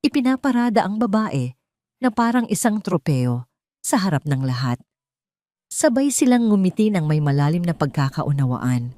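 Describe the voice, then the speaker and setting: A woman's voice: fairly low pitch, very animated, moderate pace, warm tone, no room sound. A woman with a Filipino accent reading a scripted dramatic story as its narrator.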